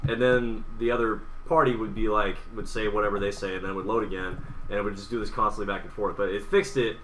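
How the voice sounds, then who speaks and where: A man's voice: on the low side, fairly even intonation, fast talker. A man co-hosting a gaming podcast in casual, sometimes profane chat. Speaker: podcast co-host. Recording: distant mic, bright, faint background noise.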